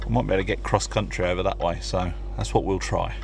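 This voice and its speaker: A man's voice: deep voice, steady tone, quick pace. A man talking casually as a vlogger testing two-way radios, switching between offhand remarks and radio check calls. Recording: clear vehicle and ambient noise.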